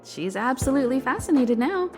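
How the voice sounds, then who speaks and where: A woman's voice: sits high, very animated, a little fast, loud music behind the voice. A woman playing a composed, patient and lightly wry female guide in an English video game dub. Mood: reassuring, explanatory.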